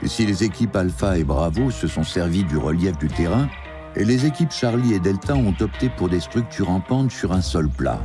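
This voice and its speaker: Male documentary narrator, very deep, scripted, music bed. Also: lively intonation. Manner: measured dubbed voice-over. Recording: voice-over under loud music.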